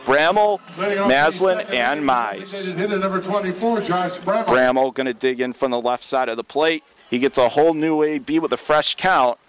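An American man calling baseball play-by-play for radio from a stadium press box, in a measured, descriptive way with plenty of detail. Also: mid-range voice, fairly bright tone, clear, resonant tone, poor audio. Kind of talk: live sports radio play-by-play commentary.

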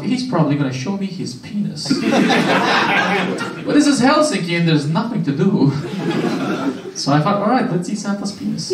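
A young man from Romania performs stand-up into a handheld mic, his voice high and lively. He drops punchlines deadpan and jokes with the crowd. He is heard from a distance across a roomy club, with loud laughter behind him. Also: very noisy. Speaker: stand-up comedian. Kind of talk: stand-up set. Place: small comedy club stage. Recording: roomy club sound, camera far off. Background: audience laughter.

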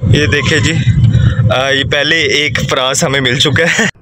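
A man's voice: on the high side, fairly even intonation, a little slow. A young man in his twenties chatting casually to his viewers as a vlogger, filming himself on a phone. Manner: chatty, playful, addresses viewers. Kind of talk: casual vlog narration. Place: inside a moving car. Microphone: phone held at arm's length. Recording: loud road noise from a car.

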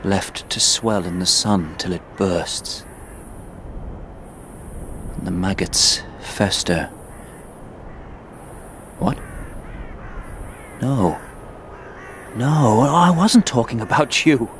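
An actor playing a guilt-ridden knight in an audio drama, muttering haltingly to the battlefield dead. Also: male voice, lowish voice. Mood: agitated, apologetic. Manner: halting, muttering, talking to unseen listeners. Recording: noisy outdoor soundscape with crows.

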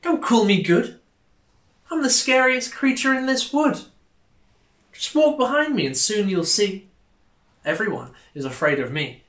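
Guy doing a clever little mouse from a kids book, polite n cheeky, bright voice. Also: high voice, very animated, measured pace, long pauses, echoey room, voice pushed up, exaggerated voice. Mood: bold.

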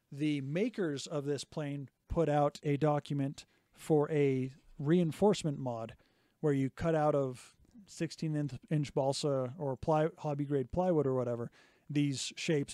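Hoarse thirtysomething male co-host, higher and mellow, chatty and explanatory, dry studio mic. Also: steady tone, a little slow, dry recording. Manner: chatty, explains step by step with restarts. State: slightly hoarse. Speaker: podcast co-host. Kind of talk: casual hobby podcast chat. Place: small home workshop indoors. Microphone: studio mic on boom arm, close. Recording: dry close podcast mic.

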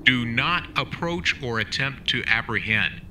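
A formal radio newsreader with a lively, rising and falling delivery, as a man plays him. He speaks in measured bulletin cadence. The voice comes through a noisy radio broadcast with a clear hiss behind it. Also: mid-range voice. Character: formal radio news announcer.

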